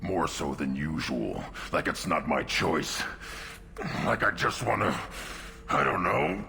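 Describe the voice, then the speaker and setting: Game creature role: young alien brute, extremely low and slow, brooding fury in clipped phrases. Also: male voice, big pitch swings, noisy background, exaggerated voice. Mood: agitated, frustrated. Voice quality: gravelly growl. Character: restless young tank-born alien warrior, brooding and furious. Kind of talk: video game voice acting.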